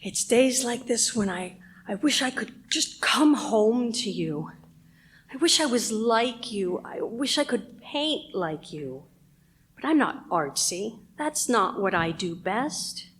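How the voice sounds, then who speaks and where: A woman playing a bitter, overworked radio station manager in a radio play, domineering and sharp-tongued. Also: on the high side, expressive, takes it slowly. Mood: wistful, grieving.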